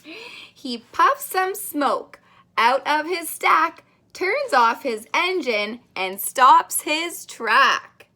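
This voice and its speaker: A woman with a high, bright voice reads a children's storybook aloud at a very slow pace. She is expressive and sing-song, stretching out words as a preschool teacher does for little listeners. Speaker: daycare teacher reading a picture book to young children.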